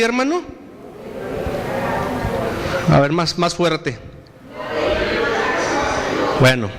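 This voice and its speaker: A man with a Mexican Spanish accent preaching a sermon. He addresses the congregation again and again and reads scripture slowly and with emphasis. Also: high voice, noisy background. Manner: preaching with repeated appeals to the congregation, slow emphatic scripture reading. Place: church hall. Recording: reverberant hall.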